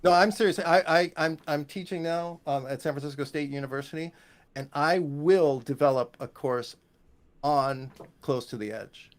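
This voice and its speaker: A man's voice: on the high side, normal speed. An American man, a guest musician and lecturer, chatting casually and enthusiastically about music on a panel.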